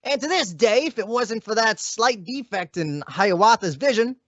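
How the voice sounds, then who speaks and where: A man's voice: sits high, lively intonation, normal speed, fairly bright tone, no room sound, dull audio. A man playing a slangy, self-important freeloading boyfriend who bluffs and stalls, in an old-time radio comedy. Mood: pompous.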